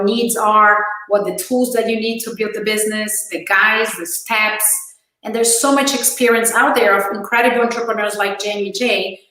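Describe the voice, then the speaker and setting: A woman's voice: medium pitch, a bit bright. A woman in her forties with a Brazilian accent, a guest in an interview, speaking with enthusiasm and care. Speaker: guest entrepreneur. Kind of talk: interview conversation. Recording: very echoey room.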